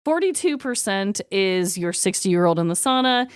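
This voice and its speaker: A woman hosting a podcast in casual comedic banter, with an expressive, irreverent way of talking. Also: medium pitch, moderate pace, clean tone, no echo. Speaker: podcast host. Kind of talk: casual comedic banter, presenting joke awards.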